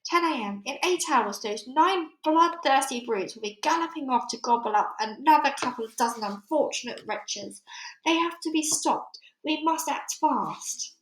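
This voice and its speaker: A woman's voice: high-pitched, expressive, normal speed, reverberant, pitched above the performer's own voice, rough recording. A woman voicing a composed, commanding Queen who speaks firmly, properly and decisively.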